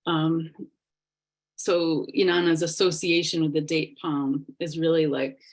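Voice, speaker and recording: A woman's voice: deep voice, level tone, unhurried. A woman giving an online class presentation over a video call, thoughtful and hesitant, with many fillers.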